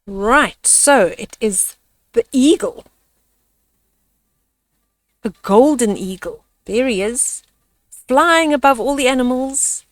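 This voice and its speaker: An older woman hosting a children's storytime, reading a picture book aloud in a measured, dramatic way. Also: fairly high pitch, lively intonation, dry recording, distant mic.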